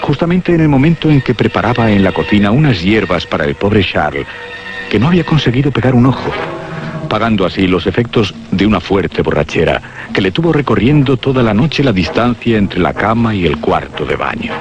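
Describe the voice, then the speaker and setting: A man narrating a suspense radio play in a deliberate, dramatic, scripted style. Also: lowish voice, big pitch swings, muffled recording. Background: music.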